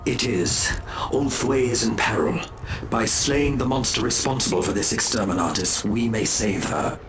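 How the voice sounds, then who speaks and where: An actor playing a grave, resolute alien ranger in a game, formal and earnest. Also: male voice, on the low side, normal speed, reverberant, noisy background, close to an everyday voice. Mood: grave resolve. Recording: music behind.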